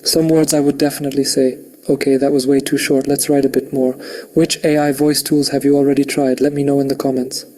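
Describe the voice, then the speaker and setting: A man's voice made by text-to-speech, speaking in a rushed, machine-like way. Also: medium pitch. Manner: flat, rushed synthetic delivery.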